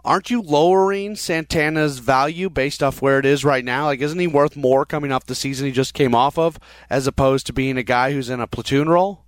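A hoarse man with a cold hosting a sports podcast, talking casually and stopping often with fillers into a headset mic. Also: medium pitch, no echo. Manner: halting, many uh fillers. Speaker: podcast host.

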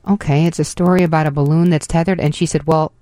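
A woman hosting a casual radio interview in a chatty, animated way on a close studio mic. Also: deep voice, fast, no echo. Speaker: radio host.

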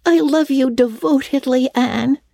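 Very high-pitched earnest little girl. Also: a little slow, darkish tone, dry recording, clean studio sound. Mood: staunch, affectionate. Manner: childlike, earnest, simple. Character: Diana, devoted, plainspoken little girl, Anne's bosom friend. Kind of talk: dramatic audiobook reading.

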